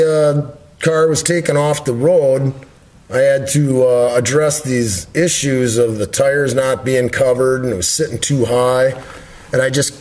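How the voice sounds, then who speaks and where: A man's voice: fairly high pitch, darkish tone. Middle-aged man telling stories in an echoey room. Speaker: storyteller. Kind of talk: casual rambling storytelling. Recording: roomy echo on the voice.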